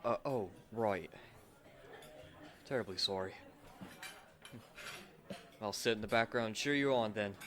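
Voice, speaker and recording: A man's voice: lowish voice, measured pace, pauses often, noisy background, natural voice. A man voicing a brash, loyal friend and self-appointed protector in a comic audio drama, blustering and informal. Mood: sheepish.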